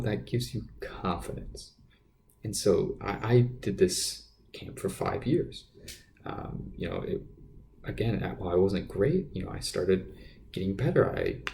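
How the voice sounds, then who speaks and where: A man with a quiet, gravelly voice that sits fairly low and dark in tone. He talks unscripted as a podcast host, sharing personal stories in a hesitant way, doubling back on his words as he goes. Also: a little hiss or hum. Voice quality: naturally quiet voice. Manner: hesitant, halting, often repeating words. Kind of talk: unscripted personal storytelling monologue.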